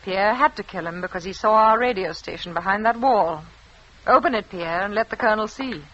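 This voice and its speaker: Actress as tense French resistance wife, muffled radio recording. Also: female voice, medium pitch, brisk, a bit bright, slight background noise, natural voice. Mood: defiant, steely. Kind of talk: 1940s radio drama acting.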